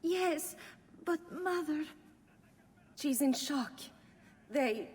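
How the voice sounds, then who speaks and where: An actress playing a frightened, deferential young maidservant in a video game, sounding shaken. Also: female voice, sits high, animated, drawn-out words, darkish tone, in a large hall, heavily acted. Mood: shaken, fearful. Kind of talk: scripted video game acting.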